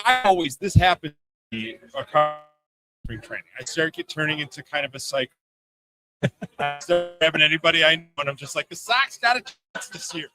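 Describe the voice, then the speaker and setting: A man with a bright, punchy voice pitched a little high talks sports casually as a guest. He leans on his points and restates them for emphasis. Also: rough recording.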